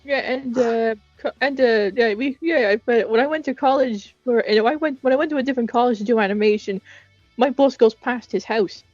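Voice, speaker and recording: Rambling Irish woman with a high voice. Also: a little fast, a little mellow, no room sound. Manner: rambling, repeats phrases. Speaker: co-host of a fan discussion. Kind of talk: casual chat. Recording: muffled lo-fi audio with music. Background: music.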